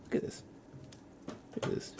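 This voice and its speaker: A man hosting an ASMR livestream, chatting gently and informally in a soft, breathy voice that often slips into a whisper, close to the microphone. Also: moderate pace, a little hiss or hum. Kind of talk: soft-spoken ASMR, casual live chat.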